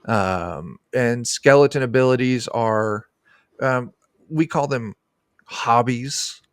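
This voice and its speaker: A middle-aged man talks in an informal, rambling and enthusiastic way. His voice has an ordinary male pitch and is recorded dry, as on an indoor video call. He sounds like a designer explaining his game. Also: takes it slowly, clean tone, no echo. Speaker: game designer, podcast guest. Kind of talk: casual explanatory chat about a tabletop game.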